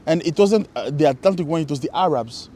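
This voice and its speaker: Mellow-voiced man, reflective and halting. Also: fairly high pitch, lively intonation. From Congo. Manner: reflective, halting with repeated restarts. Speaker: co-host. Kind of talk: casual conversation.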